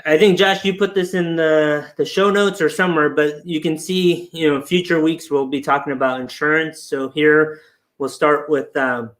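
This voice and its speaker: A man in his forties with a slightly higher voice talks through retirement planning in an easy, explanatory way. He sounds relaxed and laughs now and then. The sound comes over a video call from a room at home. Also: moderate pace. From England. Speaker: financial planner guest. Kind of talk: casual webinar explanation.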